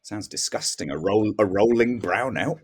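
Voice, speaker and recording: A man speaking as a guest on a comedy podcast, in casual, deadpan banter. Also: fairly low pitch, normal speed, slightly rough.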